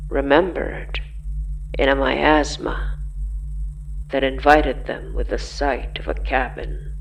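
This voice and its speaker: An actor as an ominous horror narrator, extremely slow, gravelly, solemn halting phrases, audio drama, faint ambient noise behind. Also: mid-range voice, level tone, muffled recording. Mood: solemn, haunting. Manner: halting, solemn, deliberate phrasing, repeating for effect. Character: ominous, slow storyteller of a haunted cabin horror tale.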